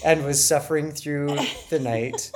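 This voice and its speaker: A man's voice: a little high, steady tone, measured pace, crowd noise. A middle-aged man co-hosting a podcast in casual chat, self-deprecating and hesitant, often restarting his sentences. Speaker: podcast co-host.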